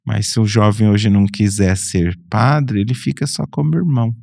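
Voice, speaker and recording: A middle-aged Brazilian friar tells his story in a fairly low voice, taking his time and restarting phrases as he goes. The sound is dry and close, with almost no room around it. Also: creaky, a little mellow, no echo. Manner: unhurried, with frequent restarts and self-corrections. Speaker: Franciscan friar and priest, podcast guest. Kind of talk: relaxed personal storytelling.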